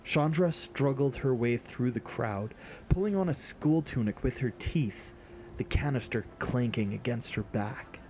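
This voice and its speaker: A man's voice: mid-range voice, lively intonation, a little slow, a little mellow, a little hiss or hum. A man narrating a scripted fantasy story in an audio drama.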